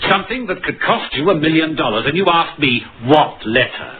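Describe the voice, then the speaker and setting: An actor playing a composed, probing British police inspector, formal and dryly authoritative, in an old-time radio drama. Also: male voice, fairly high pitch, lively intonation, a little fast, natural voice. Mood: brisk, dry. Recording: muffled old radio broadcast recording.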